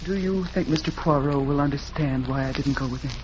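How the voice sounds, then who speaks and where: An actress playing a young, refined, fragile widow, guarded and hesitant, in 1940s radio drama style. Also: female voice, low voice, brisk, natural voice. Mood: soft, polite. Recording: muffled, noisy 1940s radio recording.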